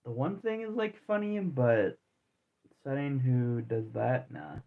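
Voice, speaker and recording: Man, twenties, game streamer, casual rambling chat, slangy, mid-pitched voice, tinny phone-like sound. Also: lively intonation, slow, vocal fry. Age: 20s. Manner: rambling and laid-back, heavy on slang and restarts. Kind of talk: casual live-stream chat while playing.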